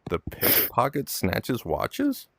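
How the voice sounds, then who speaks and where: A man's voice: mid-range voice, wildly swooping pitch, slow pace, creaky, fairly bright tone, slight background noise. A man hosting a casual golf game with friends, telling crude jokes and laughing often. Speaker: host and golf player. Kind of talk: casual gaming banter.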